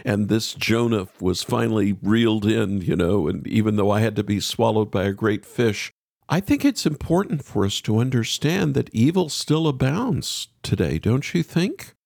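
A middle-aged man hosting a conversational interview, easing into questions in a measured, roundabout way. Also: on the low side, lively intonation, creaky, a little mellow, dry recording, studio quality. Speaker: host.

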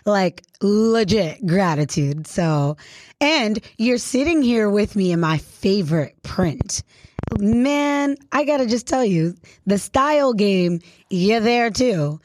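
A woman's voice: medium pitch, lively intonation, takes it slowly, a little mellow, dry recording, clean studio sound. A woman hosting a radio interview in a casual, slangy, celebratory style, effusive and admiring in an informal way. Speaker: radio show host.

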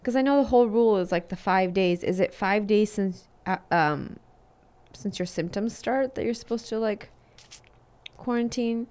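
A woman's voice: mid-range voice, darkish tone. Teasing woman, casual podcast banter. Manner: teasing, quick interjections. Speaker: podcast co-host. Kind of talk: casual chat.

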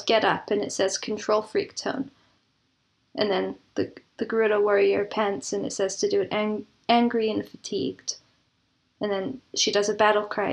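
A woman speaking conversationally as an interview guest, explaining her creative work in earnest detail. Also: medium pitch. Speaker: interview guest, audio drama producer and composer.

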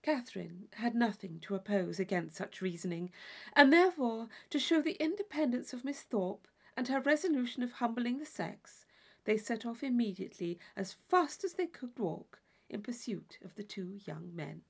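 A woman narrating a classic novel, reading in a measured, formal storytelling manner. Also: a little high, expressive, vocal fry, husky. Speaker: audiobook narrator. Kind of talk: scripted literary narration.

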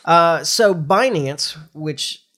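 A man's voice: on the high side, expressive, drawn-out words, a bit bright. A middle-aged man with a nasal, congested voice from a recent flu, chatting casually as a podcast host. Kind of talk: casual chat, explaining news informally.

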